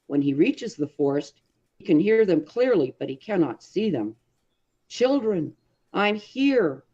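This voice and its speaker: A woman narrates a story in a dark, soft-edged voice. Her reading is expressive and deliberate, with dramatic phrasing. The recording is somewhat dull and lo-fi. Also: fairly low pitch. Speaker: audiobook reader.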